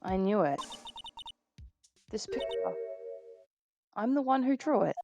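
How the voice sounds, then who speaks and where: A woman voicing a shaken young witness in a live fan dub, speaking in a hesitant, halting way. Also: mid-range voice, lively intonation, vocal fry, highs cut off, over music. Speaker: fan voice actor.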